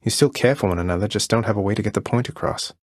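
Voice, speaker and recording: A man playing a young underworld prince in a video game, friendly, courteous and gently wry. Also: on the low side, racing, no room sound, clean studio sound, lightly acted. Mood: warm, encouraging.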